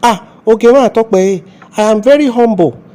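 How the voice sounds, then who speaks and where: A man's voice: sits high, normal speed, dark tone, lightly acted. A man acting as a zealous, self-important Nigerian police officer in a comedy skit, with a scolding manner and a Nigerian accent. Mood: proudly humble.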